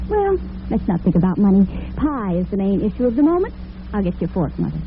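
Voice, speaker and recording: A woman's voice: mid-range voice, big pitch swings, fairly quick. A young actress playing an earnest, devoted working-girl daughter with money worries in old-time radio drama. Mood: worried, caring. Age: young adult. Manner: earnest, affectionate. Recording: narrow-band vintage radio with slight noise.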